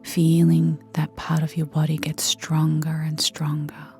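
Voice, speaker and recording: Female meditation guide, low and gentle, soft breathy whisper, long unhurried pauses, light music underneath. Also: monotone. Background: soft background music.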